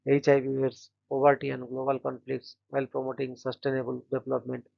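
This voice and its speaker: A man hosting a daily channel, reading short scripted biographies in a flat, steady way and stumbling now and then on names. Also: medium pitch, brisk, vocal fry, dull audio. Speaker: host of a daily events channel.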